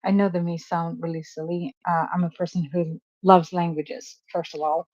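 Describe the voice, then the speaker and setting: A woman's voice: on the low side, flat delivery, moderate pace, darkish tone, no room sound, lo-fi sound. A woman from Sweden, a native Swedish speaker, co-hosting a casual chat. She gushes and chats freely, with hesitant fillers. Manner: gushing, chatty, with hesitant fillers. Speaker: co-host.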